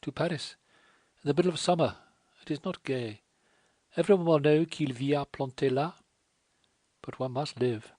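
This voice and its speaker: A man's voice: on the high side, a little mellow, no echo, pitched above the performer's own voice, lightly acted. Man putting on a tearful young French woman. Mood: resigned. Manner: emotional, rapid, sighing.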